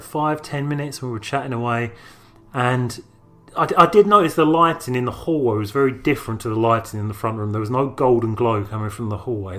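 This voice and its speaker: A man's voice: mid-range voice, a bit bright. A man with a London accent tells a first-person story in an informal, conversational way with colloquial British phrasing. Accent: London English. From North London, England. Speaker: storyteller, podcast producer.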